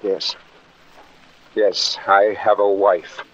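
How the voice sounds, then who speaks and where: An actor playing a flustered, secretive, love-struck married gentleman doctor in a 1940s radio drama, with a genteel, stammering, blustering delivery. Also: on the low side, level tone, very slow, full character voice. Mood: earnest, yearning. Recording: dull, noisy 1940s radio recording.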